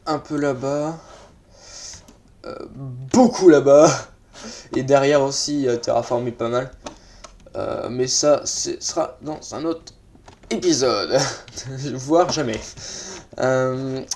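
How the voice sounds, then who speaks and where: Male let's play host, slightly congested, casual and hesitant, mid pitch, faint noise with keyboard clicks. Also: slow pace, pauses often, darkish tone. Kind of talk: casual gameplay commentary. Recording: noisy mic with keyboard clicks.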